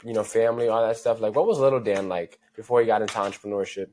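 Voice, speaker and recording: Podcast host: fairly low male voice, casual, lots of fillers. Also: rapid-fire, a little mellow. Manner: loose and chatty, heavy on fillers like "kinda" and "you know". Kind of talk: casual interview.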